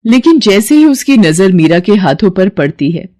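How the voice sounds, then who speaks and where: A woman's voice: mid-range voice, sing-song, normal speed, mellow. A woman narrating a scripted moral story as a storyteller.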